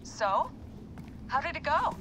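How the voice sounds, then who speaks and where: A woman playing a bossy but caring, sardonic medical officer in a video game, brisk and insistent. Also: sits high, big pitch swings, heavily acted. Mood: wry, insistent. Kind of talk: naturalistic video game acting. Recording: clear background noise.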